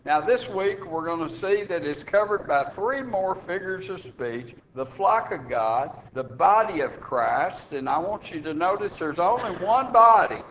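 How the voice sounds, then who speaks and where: Earnest preacher. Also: male voice, high voice, animated, a little slow, few pauses, fairly bright tone, a little hiss or hum, low-quality recording. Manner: earnest, rising to emphatic preaching. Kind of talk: sermon and announcements on a radio broadcast. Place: church sanctuary.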